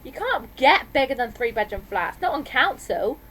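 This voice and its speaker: A woman's voice: high-pitched, sing-song, moderate pace, a bit bright, a little hiss or hum, pitched above the performer's own voice. A woman voicing a clever, defiant teenage girl in an audiobook, with a blunt, challenging manner. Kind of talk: audiobook character voice.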